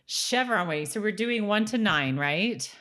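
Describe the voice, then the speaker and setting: A woman's voice: medium pitch, expressive, moderate pace, fairly bright tone. A woman co-hosting a podcast, chatting casually and playfully about a TV show. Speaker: podcast co-host.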